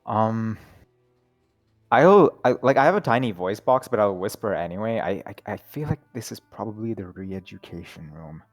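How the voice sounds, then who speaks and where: A man's voice: fairly low pitch, animated, normal speed, soft background music. A man playing in a casual online tabletop game, talking in a laid-back, chatty way and laughing often. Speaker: player.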